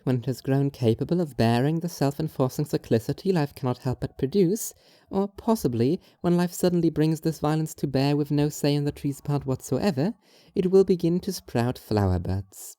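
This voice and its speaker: Dark-toned, earnest, strange young woman, acted. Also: deep voice, animated, no echo, studio quality. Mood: philosophical, intent. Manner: formal, measured, literal-minded. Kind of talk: audio drama monologue and dialogue.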